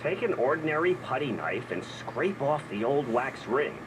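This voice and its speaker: Guy playing a soft-spoken dad reading out loud, lively up and down, hissy. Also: medium pitch, normal speed, lightly acted. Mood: steady reading. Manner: calm storytelling read, sheepish asides. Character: gentle father reading his daughter a manual. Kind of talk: scripted radio ad. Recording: noisy background hiss.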